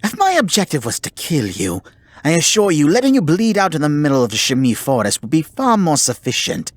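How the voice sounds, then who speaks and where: A man playing a haughty, grudgingly caring male kitsune in an ASMR roleplay, speaking formally and theatrically with a breathy, husky voice. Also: on the high side, huge pitch swings, brisk, no echo. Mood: sarcastic, exasperated. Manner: formal, theatrical, exasperated scolding. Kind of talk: ASMR roleplay acting, addressing the listener.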